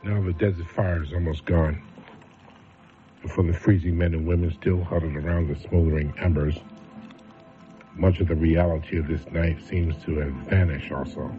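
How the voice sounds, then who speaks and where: An actor playing a solemn narrator of a dark desert night, speaking gravely and in measured phrases for a radio drama. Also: male voice, sits low, fairly even intonation, close to an everyday voice. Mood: somber, brooding. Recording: thin phone-like radio sound with loud music.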